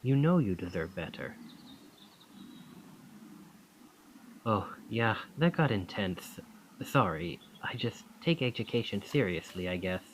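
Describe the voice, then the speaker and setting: Charming, lively young male student, acted by a man. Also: lowish voice, normal speed, slight background noise, close to an everyday voice, poor audio. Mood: earnest.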